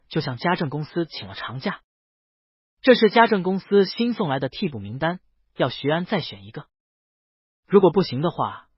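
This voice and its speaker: A man's voice: fairly high pitch, slightly rough, no echo. A man reading a romance novel aloud as scripted narration, close to the microphone. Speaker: audiobook narrator. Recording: dull, lo-fi, close dry sound.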